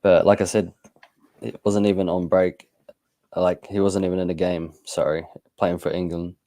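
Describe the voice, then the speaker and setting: Man, very low voice, mellow, football co-host, unhurried, dry sound. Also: flat delivery, no room sound. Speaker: podcast co-host. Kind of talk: casual football chat.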